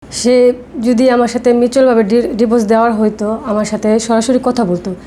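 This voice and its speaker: A woman's voice: on the high side, fairly even intonation, fairly quick, dark tone. A woman with a Bangladeshi accent giving a personal account as an interview guest, insistent and conversational. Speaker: podcast guest. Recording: faint background noise.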